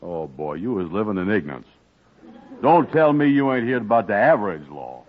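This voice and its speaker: An actor playing a slow-witted, gullible, literal-minded man who asks naive questions and muddles words in an old radio sitcom. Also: lowish voice, big pitch swings. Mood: self-important. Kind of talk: 1940s radio sitcom acting. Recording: noisy, muffled old radio broadcast.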